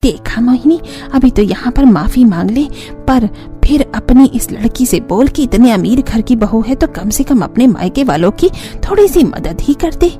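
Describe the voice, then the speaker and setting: A woman's voice: on the high side, expressive, normal speed, warm tone, close to an everyday voice. A woman playing a greedy, scolding old grandmother in a cartoon family story. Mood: scheming. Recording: music bed under the voice.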